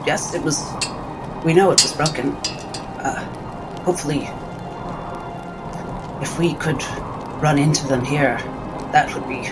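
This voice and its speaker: A man's voice: fairly high pitch, very noisy. A man playing a tabletop roleplaying game, speaking in character with hesitant, rather formal wording. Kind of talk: measured in-character roleplay talk. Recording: music behind the voice.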